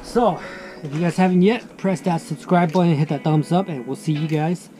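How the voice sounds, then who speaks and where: A man's voice: a little high, normal speed, mellow. A man in his thirties chatting casually to camera from a small ice fishing shanty, laid-back and chatty, dropping "dude" and "man.". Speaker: fishing vlogger. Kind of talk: casual vlog talk to camera. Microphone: action camera mounted inside the shanty. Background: background music.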